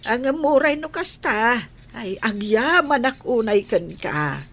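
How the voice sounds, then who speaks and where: A woman with an Ilocano accent playing a gentle, grateful older sister in a radio drama. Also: fairly high pitch, animated, takes it slowly, exaggerated voice.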